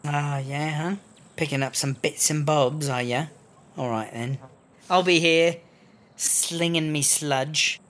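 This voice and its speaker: A man's voice: fairly high pitch, slow pace, a bit bright. A man playing a crafty, foul-mouthed female prison wine brewer with a British accent, chummy and wheedling, in a comedy audio drama. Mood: chatty, sly.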